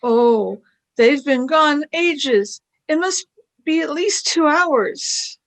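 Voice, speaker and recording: Woman doing worried young girl, high and slow, pleading. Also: lively intonation, heavily acted. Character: anxious young woman companion. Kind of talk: amateur radio play acting.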